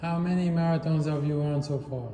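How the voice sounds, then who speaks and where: A man's voice: a little high, steady tone, brisk, warm tone, slight background noise. A man interviewing a runner, asking brief, upbeat questions. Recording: echoey, reverberant with music behind.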